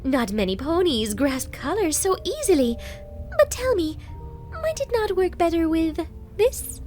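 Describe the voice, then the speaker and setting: A high, swooping voice for an elegant young unicorn lady, performed by a woman narrator. She is demure yet playful, coy in a refined storybook way. Faint music sits behind her. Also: sing-song, a little slow, a little mellow, full character voice. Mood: playful, delighted. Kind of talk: storybook character acting.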